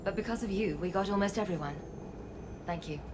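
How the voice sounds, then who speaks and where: A woman's voice: mid-range voice, a little fast, background noise, lightly acted. A woman playing an earnest, grateful scientist in a video game cutscene. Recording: music behind the dialogue.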